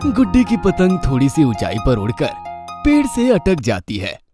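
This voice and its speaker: Man narrating a children's story, animated intonation. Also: fairly high pitch, normal speed, music in the background.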